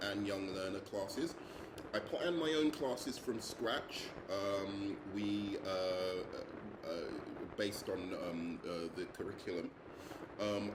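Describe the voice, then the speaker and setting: A young man from London introduces himself as a teacher, pausing often on ums and uhs. His voice sits a little low. The room rings with echo, and steady background noise runs under him. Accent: English, London. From England. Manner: hesitant, many ums and uhs. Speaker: English teacher. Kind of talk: self-introduction video.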